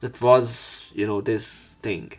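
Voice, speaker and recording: Low-pitched man, theatrical let's play narrator. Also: flat delivery, slow. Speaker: let's player narrating and commenting on a visual novel. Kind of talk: dramatic read-aloud with commentary.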